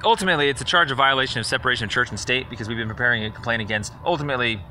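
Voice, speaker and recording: A man's voice: mid-range voice, racing, pushed and bright, a little hiss or hum. A man leaving a rambling, accusatory phone message in a sarcastic, confrontational, indignant manner. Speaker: caller leaving a voicemail complaint.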